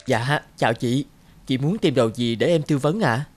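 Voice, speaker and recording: Guy doing a worried young shop lady in a cartoon, polite n earnest, loud music under it. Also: medium pitch, moderate pace, close to an everyday voice. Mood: attentive. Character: earnest, anxious young female shop clerk. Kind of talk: cartoon moral-tale dialogue.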